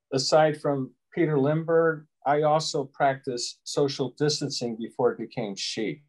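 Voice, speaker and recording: A man in his sixties from Chicago, a guest photographer giving measured, rambling answers over a webcam video call from a small room at home. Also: mid-range voice, darkish tone, poor audio. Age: 60s. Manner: measured, explanatory, rambling asides. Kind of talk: casual interview answers.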